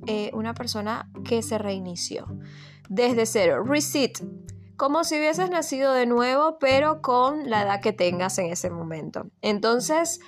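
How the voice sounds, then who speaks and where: A woman from Venezuela with a Venezuelan accent, telling a personal story in a reflective way, pausing and repeating words as she thinks. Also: fairly high pitch. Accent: Venezuelan Spanish. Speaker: vlogger. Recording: guitar music underneath.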